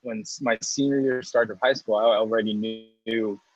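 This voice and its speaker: Husky, muffled man telling his story. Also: on the low side, steady tone, normal speed. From United States. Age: 30s. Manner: rambling with many restarts and light laughs. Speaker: guest, coffee roaster and business owner. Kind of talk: casual interview talk.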